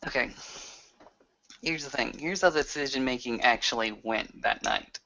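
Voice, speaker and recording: A man playing an earnest, reflective werewolf in an improvised roleplay, talking in a deliberate, halting way. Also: mid-range voice, pushed and bright, a little hiss or hum. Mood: explaining, troubled. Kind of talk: improvised in-character roleplay conversation. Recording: dull lo-fi voice recording.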